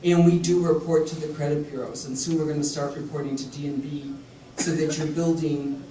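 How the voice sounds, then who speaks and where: A higher-voiced man pitches his loan program with an upbeat, joking manner. He sounds distant in an echoey hall with faint background noise. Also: fairly even intonation, fairly quick, dark tone. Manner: upbeat, jokey, pitching services. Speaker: lending program director on a panel. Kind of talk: panel discussion, informational.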